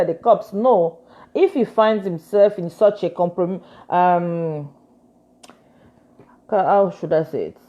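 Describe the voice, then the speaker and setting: Earnest woman, mellow dull-sounding voice. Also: medium pitch. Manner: earnest, switches between French and English; insistent, repeats key phrases. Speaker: lawyer. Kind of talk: casual commentary.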